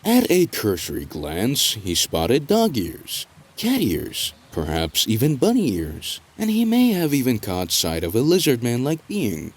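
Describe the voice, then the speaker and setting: A male narrator with a medium-pitched voice reads a scripted tale. His intonation moves widely, while the phrasing stays measured, with deliberate dramatic pauses. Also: huge pitch swings, husky.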